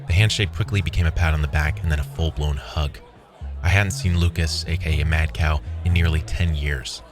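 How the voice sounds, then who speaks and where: A man plays a weary, wry programmer telling his own story in a scripted audio drama. His voice sits extremely low, with clear music playing behind the narration. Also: fairly even intonation, moderate pace. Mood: wry, reflective.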